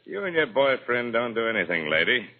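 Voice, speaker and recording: An actor playing a cold-blooded tough crook who gives clipped, commanding orders in underworld slang, in 1940s radio crime drama style. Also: male voice, medium pitch, sing-song, brisk. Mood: coolly threatening. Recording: muffled, noisy old radio recording.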